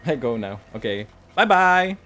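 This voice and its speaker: A man's voice: medium pitch, big pitch swings, measured pace, sharp tone, faint music behind. A man with a Spanish accent whose first language is Spanish, chatting casually while streaming a game, laughing often and repeating words as he stumbles. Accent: Spanish-speaking. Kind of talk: casual gaming livestream chat.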